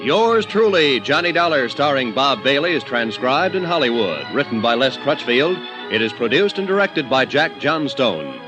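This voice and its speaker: A man's voice: medium pitch, very animated, moderate pace, rough recording. A man announcing credits for a 1950s radio drama in a formal, declamatory manner. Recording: old radio with music bed.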